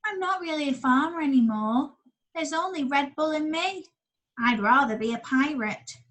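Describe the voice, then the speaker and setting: A woman's voice: high-pitched, expressive, normal speed, fairly bright tone, pitched above the performer's own voice. A woman voicing a weary male farmer who speaks wistfully, in a children's storybook reading. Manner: wistful, dreamy.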